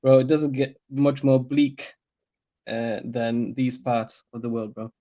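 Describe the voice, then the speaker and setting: Chill guy guesting on a podcast, warm low-key voice, laughs a lot, muffled audio. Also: level tone, takes it slowly. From Slavic country, raised in Northeast England. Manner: laid-back, laughs often, trails off. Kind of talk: casual podcast chat. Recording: dull lo-fi remote audio.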